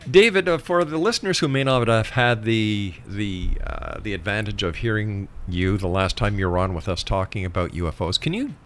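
Male talk radio host, lowish voice, conversational on-air presenting. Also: lively intonation, normal speed, few pauses, slight background noise.

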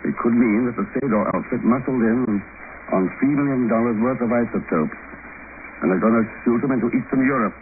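An actor playing a cool, wry secret agent in a 1950s radio drama, questioning in a dry, unflappable way. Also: male voice, mid-range voice, fairly quick, lightly acted. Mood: brisk, wry. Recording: noisy, tinny old radio broadcast.

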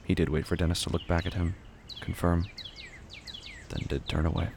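Deep gravelly man narrating horror fiction. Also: level tone, normal speed, a little mellow, a little hiss or hum. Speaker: narrator of a horror audio drama. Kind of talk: scripted narration in short clipped fragments.

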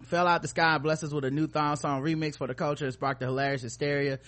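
A man's voice: a little high, steady tone, fast, a bit bright. A man hosting a podcast with casual comedic commentary, joking in slangy, profane language. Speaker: podcast host.

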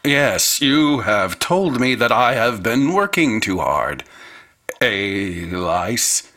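An actor playing a grave, formal American professor in a radio drama, with ornate, deliberate wording. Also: male voice, on the low side, very animated, bright, forceful tone, exaggerated voice. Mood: stilted, alien. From United States. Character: haunted, formal American political economy professor, troubled and grave.

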